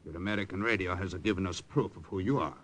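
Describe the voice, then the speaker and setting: A deep, solemn partisan. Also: male voice, quick pace, a bit bright, a little hiss or hum. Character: solemn Italian resistance fighter man.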